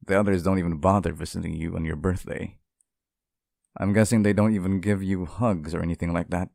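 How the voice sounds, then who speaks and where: A man's voice: low-pitched, steady tone, fairly quick, gravelly, darkish tone, no echo, studio quality. A man acting out a devoted, possessive demon in an intimate ASMR roleplay, sweet and tender with an undercurrent of menace. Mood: shy, tender.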